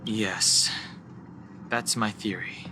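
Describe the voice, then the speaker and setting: An actor playing a level-headed, studious young man in a video game, explaining things in a measured, analytical way. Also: lowish voice, fairly even intonation, noisy background. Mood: pondering. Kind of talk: scripted game dialogue acting.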